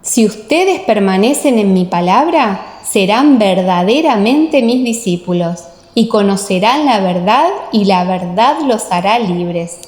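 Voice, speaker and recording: A woman's voice: mid-range voice, expressive, measured pace, few pauses, a little mellow, close to an everyday voice. A woman voicing Jesus as a solemn, authoritative teacher in an audiobook radio play. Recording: echoey, long reverb.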